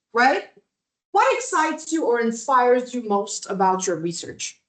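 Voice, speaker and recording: Animated woman lecturing on a video call. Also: a little high. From Lebanon. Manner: conversational, many rhetorical "right?" checks and fillers. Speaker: visiting academic presenting a workshop.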